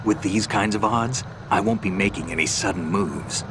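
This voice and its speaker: An actor playing a gruff, terse special-ops soldier with a deep gravelly voice in a game cutscene. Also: male voice, normal speed, noisy background, natural voice. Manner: terse, blunt.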